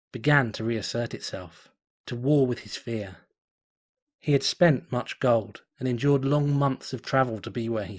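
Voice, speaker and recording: A man reading a fan story aloud as narrator, in a steady, measured way. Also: mid-range voice, breathy edge. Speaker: fanfiction reader.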